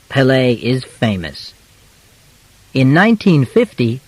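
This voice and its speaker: A man narrating a simple scripted story as an audiobook, reading in a measured, clearly enunciated way with pauses between short sentences. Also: mid-range voice, no echo, poor audio.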